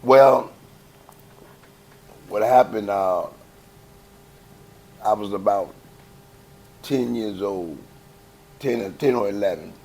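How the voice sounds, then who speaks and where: An older man from Chicago with a heavy Southern-sounding accent, a gospel choir founder telling stories in a relaxed, laughing, folksy way. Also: lowish voice, slow, long pauses, rough recording. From Chicago, West Side. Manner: easygoing, laughing, folksy storytelling. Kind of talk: relaxed interview conversation.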